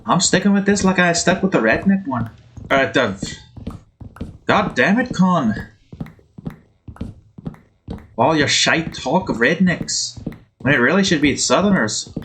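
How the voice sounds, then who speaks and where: Male gaming host, highish animated voice, rambling in a shaky imitation Scottish accent, faint noise. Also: measured pace, long pauses. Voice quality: put-on, wavering Scottish accent. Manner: rambling, self-commenting. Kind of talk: casual game commentary.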